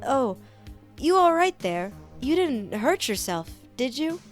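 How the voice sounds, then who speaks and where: Woman putting on a high, playful male robot. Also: sing-song, takes it slowly, soft background music, exaggerated voice. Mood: concerned, playful. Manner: teasing, theatrical, exclaiming. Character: playful, teasing, cheerful male robot skating attendant. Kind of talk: fan fiction audio performance.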